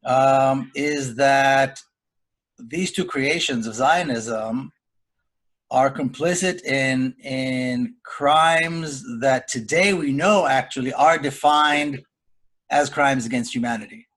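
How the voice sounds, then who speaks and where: A middle-aged man from Israel speaking on a video call in a round-table webinar, in a deliberate and earnest way. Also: medium pitch, fairly bright tone. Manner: deliberate, earnest, measured delivery. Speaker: panel speaker.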